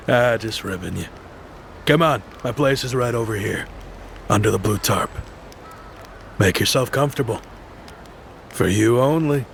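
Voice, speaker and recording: An actor playing a friendly, teasing homeless man in a video game dub, with a gravelly American voice. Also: medium pitch, lively intonation, measured pace, long pauses, a bit bright, a little hiss or hum. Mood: jovial, teasing. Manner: teasing, folksy.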